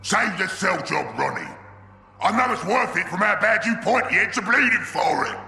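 An actor playing a brash, greedy ork warboss in a video game, with a growling Cockney voice and blunt, boisterous slang. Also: male voice, high voice, lively intonation, moderate pace, pushed and bright, heavily acted. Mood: brash, impatient. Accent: Cockney English. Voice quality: gravelly, growling.

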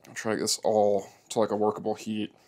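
A man's voice: lowish voice, level tone, quick pace, darkish tone. Plainspoken man explaining a repair. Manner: easygoing, plainspoken, talks while working. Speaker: motorcycle repair demonstrator. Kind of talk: hands-on how-to narration. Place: indoor workshop.

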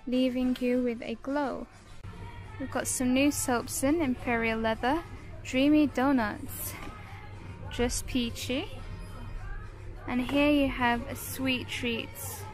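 A British woman narrating a casual shopping vlog, listing items in short descriptive phrases. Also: high voice. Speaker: shopping vlogger. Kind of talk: casual shop-with-me product showcase narration.

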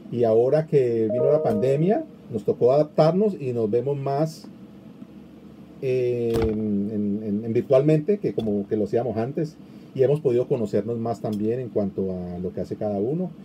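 A man's voice: medium pitch, normal speed, dark tone, faint music behind. A middle-aged man hosting a live online interview over a video call, chatting easily and reading out viewer comments. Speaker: interview host. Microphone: video call webcam.

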